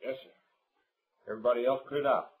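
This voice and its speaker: A man's voice: mid-range voice, steady tone, brisk, natural voice. An actor playing a frontier townsman in a 1950s radio western. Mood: nervous, deferential. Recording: noisy vintage radio drama recording.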